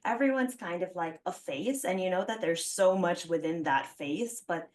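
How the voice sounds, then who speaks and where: A woman in her thirties from the Philippines hosts a relaxed interview podcast with a low voice. She talks reflectively, sprinkling her sentences with "like" and "you know". Her voice sits a little back from the microphone. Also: normal speed. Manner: chatty, warm reflections full of "like" fillers. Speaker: podcast host. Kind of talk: casual conversational interview. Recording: voice a bit distant from the mic.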